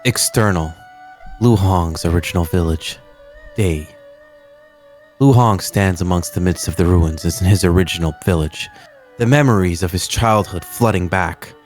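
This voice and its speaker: Male narrator, deep pitch, grave theatrical screenplay read with drawn-out phrasing, faint music underneath. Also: a little mellow. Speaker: narrator reading a movie script. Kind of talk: dramatic scripted screenplay narration.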